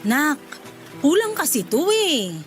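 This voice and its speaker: A woman's voice: high voice, very animated, slow pace, soft background music, exaggerated voice. An actress playing a demanding, wheedling middle-aged mother in a radio drama, with a nagging, insistent manner. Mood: eager, disappointed. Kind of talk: Tagalog radio drama acting.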